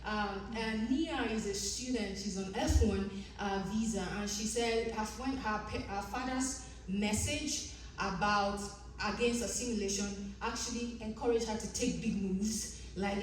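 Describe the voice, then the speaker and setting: A woman's voice: mid-range voice, unhurried, a little hiss or hum. A woman with a Nigerian accent, originally a Yoruba speaker, giving an earnest academic conference presentation with frequent ums and restarts. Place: conference room. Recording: echoey room, distant mic.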